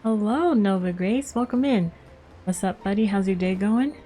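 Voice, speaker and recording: A woman's voice: medium pitch, moderate pace, dark tone, faint music behind. A woman game streamer chatting casually on a live stream and reacting to the game, laid-back and chatty.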